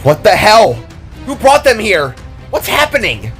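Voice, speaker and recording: A man's voice: high-pitched, wildly swooping pitch, unhurried, a bit bright, faint music behind, pitched above the performer's own voice, heavily acted. A man voicing a fierce, panicky young female brawler in a fantasy story, agitated and howling. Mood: panicked.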